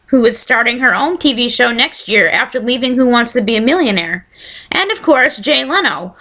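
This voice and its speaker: A woman's voice: a little high, sharp tone. A woman hosting a casual solo podcast, chatting and reading aloud, her voice slightly scratchy today. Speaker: podcast host.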